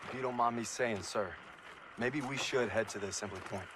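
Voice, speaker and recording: An actor playing a respectful young army soldier, hesitant and questioning, in a war game cutscene. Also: male voice, mid-range voice, brisk, lightly acted. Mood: cautious, quiet. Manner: hesitant, deferential. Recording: clear outdoor background noise.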